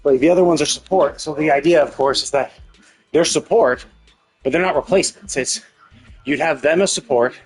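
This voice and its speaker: A man streaming a game live, talking casually and haltingly as he works through numbers to himself. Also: on the high side, husky, faint music behind, rough recording. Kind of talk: casual gaming stream commentary, thinking aloud.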